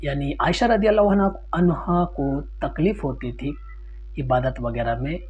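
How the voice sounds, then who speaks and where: A man's voice: fairly high pitch, unhurried. A man delivering an informal Islamic lecture, explaining didactically, citing sources and restating his points in simpler words. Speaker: Islamic religious teacher answering a ruling question. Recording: dull lo-fi sound with loud hum.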